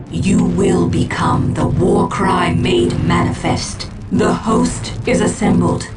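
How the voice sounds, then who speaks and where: A woman playing a solemn, mystical alien seer in a game cutscene, with a ceremonial manner of speaking. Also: on the low side. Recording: loud music behind.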